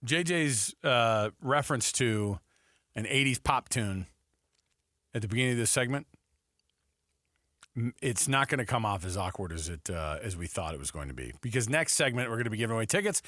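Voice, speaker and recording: A man's voice: medium pitch, animated, normal speed, sharp tone, no echo, studio quality. A man hosting a casual sports talk radio show.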